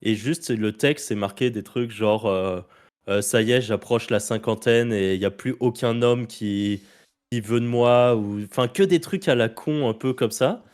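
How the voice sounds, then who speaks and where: A man's voice: medium pitch, moderate pace, a little mellow, smooth. A man with a French accent co-hosting a podcast, telling anecdotes in casual, slangy speech. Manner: chatty, anecdotal, informal slang. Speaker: podcast co-host.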